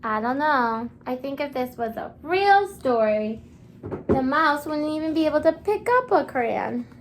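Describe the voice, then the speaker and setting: A woman kindergarten teacher giving an interactive read-aloud, speaking expressively and encouragingly in simple phrases, with questions and pauses for children to answer. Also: high voice, expressive, a little hiss or hum.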